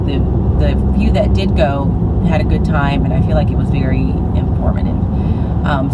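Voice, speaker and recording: A woman teacher vlogging in a casual, rambling way, tired and congested, talking from a car on a windy morning. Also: deep voice. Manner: rambling, self-correcting, chatty. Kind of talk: casual vlog monologue. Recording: loud road noise.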